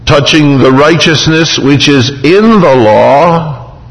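A man's voice: fairly high pitch, takes it slowly. A man preaching a sermon, emphatic, retelling scripture in a vivid first person. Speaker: pastor. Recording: long hall reverb.

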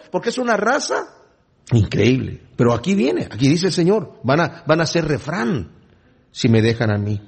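A man with a somewhat high, husky voice teaching from the Bible. He reads the scripture passages aloud, then breaks off into relaxed, conversational explanation. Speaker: preacher.

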